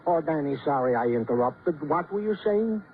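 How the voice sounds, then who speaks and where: A man's voice: fairly high pitch, animated, moderate pace, phone-quality sound. A man playing a fussy, wordy police sergeant, pedantic and formal in old-time radio style. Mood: fussy, officious. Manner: formal, flowery, pedantic report delivery. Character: fussy, precise, wordy male police sergeant reading notes. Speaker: actor in a radio detective drama. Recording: thin old radio broadcast with faint noise.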